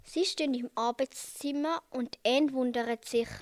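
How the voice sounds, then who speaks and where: A woman's voice: sits high, unhurried, a little hiss or hum. A female amateur narrating a children's radio play in a simple, recited way. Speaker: narrator and player.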